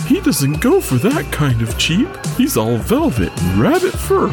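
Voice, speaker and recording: A man's voice: a little high, huge pitch swings, unhurried. A man playing a hard-drinking, hot-tempered snowman with folksy streetwise speech in a noir radio drama. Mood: defensive, brooding. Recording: roomy echo, music behind.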